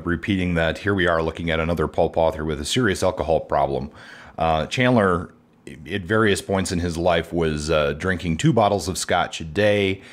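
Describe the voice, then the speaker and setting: A man co-hosting a podcast, talking casually and a little hesitantly, and moving the discussion along. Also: low voice. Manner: hesitant, many fillers, steers the discussion. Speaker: podcast co-host.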